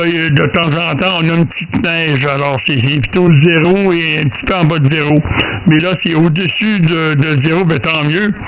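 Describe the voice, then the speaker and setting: A man with a Quebec French accent, chatting casually and in a rambling, colloquial way as an amateur radio operator. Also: on the high side. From Quebec, Canada. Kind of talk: casual radio contact chat. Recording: clearly noisy amateur radio contact recording.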